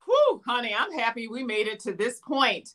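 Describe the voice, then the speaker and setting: Woman host, middle-aged, fairly high, bright, expressive live-stream delivery. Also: moderate pace. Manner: animated, gestures a lot. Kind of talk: live video show chat. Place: home room with plant and mannequin.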